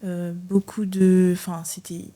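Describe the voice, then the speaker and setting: A woman's voice: fairly low pitch, completely flat delivery, unhurried, dark tone. A woman in her early twenties from France, answering casually and a little hesitantly as an interview guest. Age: early 20s. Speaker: podcast guest, judo athlete.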